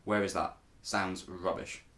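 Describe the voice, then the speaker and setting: A man's voice: low voice, steady tone, fairly bright tone. A man playing a mocking school bully with a sneering, jeering delivery in a radio drama. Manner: slow, jeering. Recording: echoey room, faint noise.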